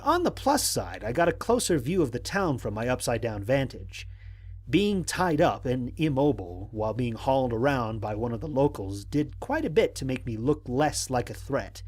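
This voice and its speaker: A stranded male space explorer played by a man records his mission log for an audio drama. His voice is a little husky and swings widely in pitch. The delivery is formal and analytical, with dry, exasperated humor. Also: very animated. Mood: dry, reflective. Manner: measured, formal, dryly humorous. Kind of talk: scripted first-person mission log narration.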